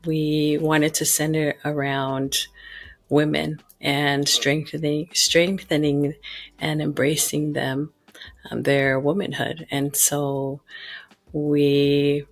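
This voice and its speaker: A woman's voice: sits low, level tone, takes it slowly. A woman raised in Utah and born in Hawaii, telling her story in a rambling, restart-filled way as a podcast guest. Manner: rambling, many restarts and "you know". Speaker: guest, pageant founder.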